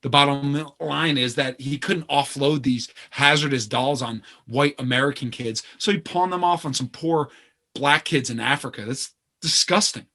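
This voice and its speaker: A man's voice: mid-range voice, normal speed, bright, forceful tone. A man playing a guarded, earnest, privileged grandson in a naturalistic stage play, speaking in hesitant, casual phrases. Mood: uneasily candid. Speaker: actor in a two-man play.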